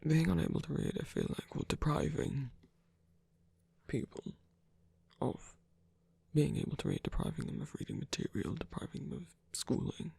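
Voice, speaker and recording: A performer of unclear sex voicing a soft-spoken, weary, tender villain lover in a romantic ASMR roleplay, breathy and often whispery, with a hesitant, gently wry delivery. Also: mid-range voice, very animated, moderate pace, no room sound, full character voice. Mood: earnest, halting.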